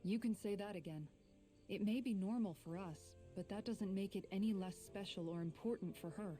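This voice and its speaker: An actress playing a caring, steady, big-sisterly warrior in an anime video game, frank and sincere. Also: female voice, mid-range voice, normal speed, soft background music, natural voice.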